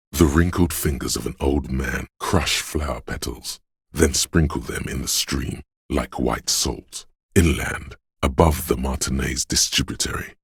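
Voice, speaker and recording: An ominous, solemn city spirit narrating a video game in poetic second person. The voice is extremely low and heavily husky, full of gravel. Each phrase unfolds slowly and with a hushed, brooding weight. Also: male voice, dry recording, clean studio sound, full character voice. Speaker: game voice actor.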